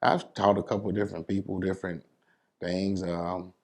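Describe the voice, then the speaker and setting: Deep-voiced American man talking casually. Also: level tone, normal speed. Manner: explanatory, repeats "you know what I'm saying". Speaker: DJ and entertainer being interviewed. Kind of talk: casual interview talk.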